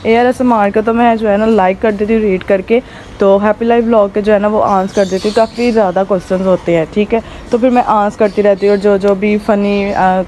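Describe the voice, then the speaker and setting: A woman with a hoarse throat chats casually to camera as a vlogger, rambling with many fillers while filming on a rooftop. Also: medium pitch, warm tone, a little hiss or hum. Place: rooftop outdoors.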